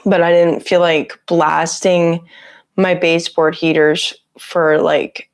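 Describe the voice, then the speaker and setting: A woman with a raspy voice, sick with a cough, chatting casually on a livestream from her home office on a lapel mic and greeting viewers by name. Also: fairly low pitch, little pitch movement, takes it slowly. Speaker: livestream host. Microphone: lapel mic on her shirt.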